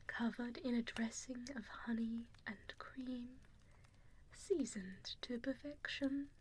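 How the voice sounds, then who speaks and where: A woman voicing an evil, possessive female vampire in a breathy ASMR whisper, deliberate and mockingly polite, speaking close into a binaural mic. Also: a little high. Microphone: binaural ear microphone, close up. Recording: close binaural mic with audible background noise.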